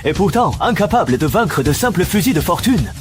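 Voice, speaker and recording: Man acting a cocky young fighter, swooping pitch. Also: big pitch swings, a little fast, music in the background. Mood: taunting. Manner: flippant, taunting. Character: cocky, mocking young male superpowered fighter. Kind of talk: French dub of animated action series.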